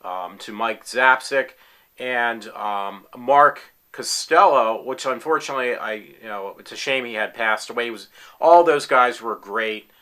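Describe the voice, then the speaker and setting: A man's voice: medium pitch, a little slow, sharp tone. A man in his forties talking casually in his room at home, rambling with many fillers and restarts as an eager fan. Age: 40s. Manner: enthusiastic fan. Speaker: applicant in a tryout video. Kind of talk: casual self-recorded talk.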